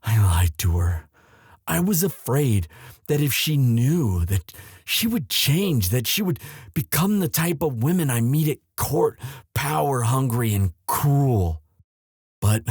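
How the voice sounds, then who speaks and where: A man playing an earnest, lovestruck young prince in an audio drama, speaking formally and haltingly as he implores his father. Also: medium pitch, darkish tone, slightly rough, no echo, clean studio sound. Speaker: voice actor in romance audio roleplay. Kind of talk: dramatic one-sided audio roleplay.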